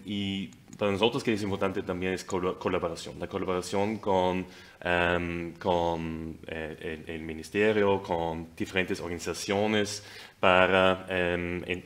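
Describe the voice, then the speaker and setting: A man with an Austrian accent speaking haltingly in a second language on a discussion panel, searching for words. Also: low voice, a bit bright. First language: German.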